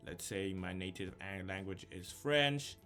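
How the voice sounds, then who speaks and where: Young man, low voice, casual walkthrough, frequent ums, soft music in the background. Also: unhurried. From South Africa. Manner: chatty, thinks aloud with frequent ums. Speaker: YouTuber walking through an application. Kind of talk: casual screen-share walkthrough.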